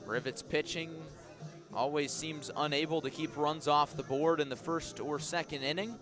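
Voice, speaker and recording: A man doing live radio baseball play-by-play as the announcer, measured between pitches and lifting into excited calls on the action. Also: over music. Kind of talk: live radio sports commentary.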